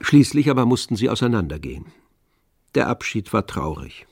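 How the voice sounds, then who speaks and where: A man narrating a literary radio play in a composed, measured manner. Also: fairly low pitch, big pitch swings, no echo, clean studio sound.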